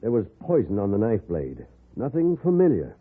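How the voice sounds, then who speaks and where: An actor playing a grave, matter-of-fact frontier doctor, measured and clinical, in an old radio drama. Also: male voice, lowish voice, wildly swooping pitch, breathy edge, heavily acted. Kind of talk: 1950s radio western acting. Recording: muffled old radio recording.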